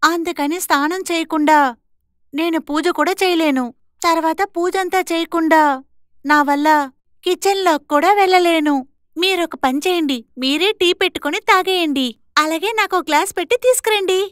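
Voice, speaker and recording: A woman voicing a bold, assertive young twin sister in an animated moral story, speaking with confidence. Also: extremely high pitch, moderate pace, no echo, clean studio sound. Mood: cheeky, defiant.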